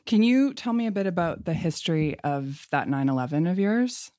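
A woman hosting a podcast interview, friendly and chatty with quick laughs. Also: on the low side, expressive, mellow, no echo, studio quality. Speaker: host.

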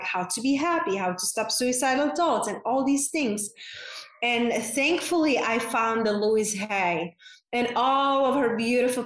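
A woman in her forties from the Czech Republic with a Czech accent, a podcast guest talking earnestly and warmly about her life, using many fillers. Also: a little high, roomy sound, poor audio. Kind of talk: casual interview chat.

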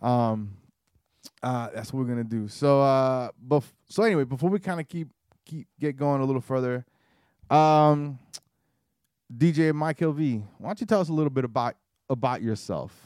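A man's voice: mid-range voice, normal speed, darkish tone, no echo, clean studio sound. A man in his forties co-hosting a podcast, chatting casually in a rambling, joking way. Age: 40s. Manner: rambling, joking, many restarts. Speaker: podcast co-host.

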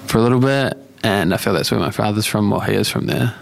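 A man's voice: lowish voice, quick pace, vocal fry. A young man with a New Zealand accent giving casual, reflective answers as an interview guest, in an informal and hedging way. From Australia and New Zealand. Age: young adult. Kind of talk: casual chat.

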